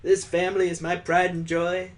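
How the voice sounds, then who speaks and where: A man's voice: high voice, completely flat delivery, moderate pace, lightly acted. A man voicing a jovial, sentimental old father-in-law, hearty and earnest, in a visual novel read aloud.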